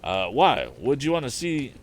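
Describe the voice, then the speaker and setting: Storybook part: country sawmill hand, done by a man, emphatic and folksy, pitched well up from low. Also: sing-song, moderate pace, slight background noise, close to an everyday voice. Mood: animated. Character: obliging rustic older handyman with a sawmill.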